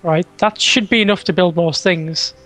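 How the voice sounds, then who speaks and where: A man co-hosting a game stream in casual chat, teasing and quick to giggle while he plans out loud, on a headset mic. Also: sits high. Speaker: co-host. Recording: faint game music behind.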